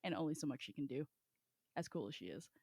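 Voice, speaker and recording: A woman's voice: sits low, big pitch swings, fast, a little mellow, breathy edge, no room sound. A woman co-hosting a podcast, chatting informally about books in a wry, sweary way. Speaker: podcast co-host. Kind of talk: casual book discussion chat.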